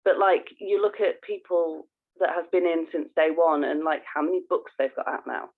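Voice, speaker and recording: An effusive podcast co-host in casual conversation, with a mid-pitched voice. The talk comes as thinking aloud, heard through thin, narrow-band call audio. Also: moderate pace.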